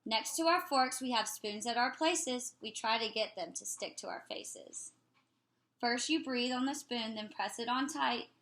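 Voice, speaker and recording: A woman reading a children's picture book aloud in an expressive, playful way. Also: a little high, unhurried.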